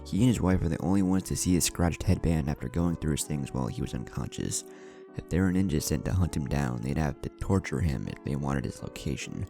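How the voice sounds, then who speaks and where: A man's voice: rumbling low, expressive, brisk, gravelly, dark tone. A man reading scripted fan fiction as a story narrator, with music playing underneath. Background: background music.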